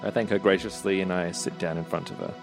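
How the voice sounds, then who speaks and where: A man's voice: deep voice, steady tone, fast, vocal fry, over music. A man playing a tabletop roleplay game, improvising in character and describing what his character does.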